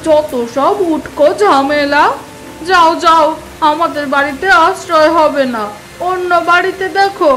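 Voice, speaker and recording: A woman's voice: high-pitched, measured pace, fairly bright tone, slight background noise, rough recording. A woman voicing a harsh, selfish housewife in a children's moral cartoon. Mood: scornful. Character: harsh, selfish housewife turning away a beggar.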